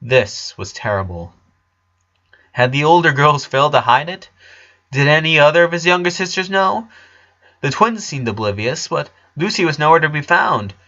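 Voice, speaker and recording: A man narrating fan fiction from a script, reading haltingly with stumbles and repeats. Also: a little high, lively intonation, bright, forceful tone, lo-fi sound. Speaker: story narrator.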